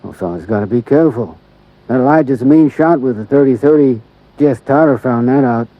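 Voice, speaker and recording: A man playing a slow-talking beefy deputy sheriff. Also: medium pitch, no room sound, lightly acted. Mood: laconic. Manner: folksy, unhurried drawl with rural grammar. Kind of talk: 1950s radio drama acting. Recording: dull lo-fi old radio recording.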